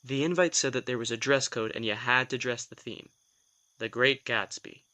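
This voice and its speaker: A man's voice: medium pitch, moderate pace, pushed and bright, lightly acted. A man acting a reluctant vampire in an audio drama, a sardonic, put-upon office worker who tells things in a wry, hesitant, self-deprecating way. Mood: animated storytelling.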